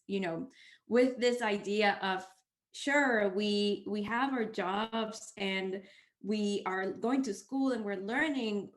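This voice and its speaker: A woman's voice: medium pitch, echoey room. A young woman from the Dominican Republic, a startup co-founder answering interview questions with lots of energy. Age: young adult. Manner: energetic, lively.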